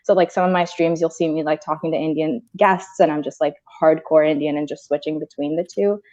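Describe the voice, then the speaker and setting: A relaxed American woman co-hosting a casual chat. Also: deep voice, fairly quick, highs cut off, crowd noise. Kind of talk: casual video call chat.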